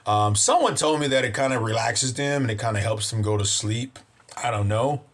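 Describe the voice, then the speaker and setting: A man's voice: mid-range voice, lively intonation, normal speed. A man hosting his own vlog, chatting casually and upbeat with his viewers, rambling and often saying "you know". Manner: upbeat, thankful to his audience. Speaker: vlog host.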